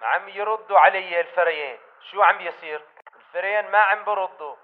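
A man's voice: high voice, fast. A man playing a wary militant with a Levantine Arabic accent, speaking through a radio filter in a video game. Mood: worried. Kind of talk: video game combat chatter. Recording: phone-like tinny radio transmission.

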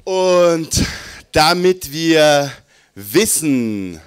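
A man's voice: on the high side, lively intonation, very slow, clean tone. Playful man hosting on stage. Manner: playful, explaining rules to the crowd. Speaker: slam host. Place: small theatre stage.

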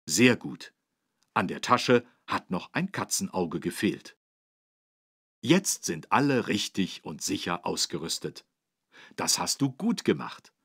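A husky-voiced man narrating a children's learning game, explaining things in an encouraging, animated way with careful phrasing. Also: low-pitched, no echo, clean studio sound. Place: dry studio.

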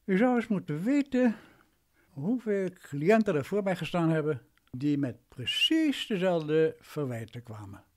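An actor playing a self-important, domineering lawyer in a radio play, patronising and imperious. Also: male voice, a little high, very animated, unhurried. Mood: self-justifying.

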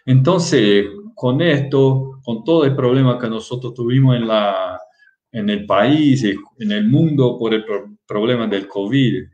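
A middle-aged man from Brazil, a native Portuguese speaker, giving an online lecture in careful, halting speech full of restarts, heard over a video call. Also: medium pitch, darkish tone. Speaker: guest lecturer. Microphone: webcam on a video call. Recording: roomy video call audio.

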